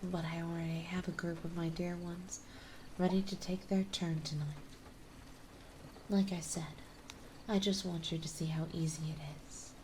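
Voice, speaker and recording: A woman playing a gentle, dominant vampire mistress in an intimate ASMR roleplay, soft and breathy, often whispering, with a refined, coaxing manner. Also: on the low side, flat delivery, a little hiss or hum, close to an everyday voice, rough recording. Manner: slow, coaxing, refined and reassuring.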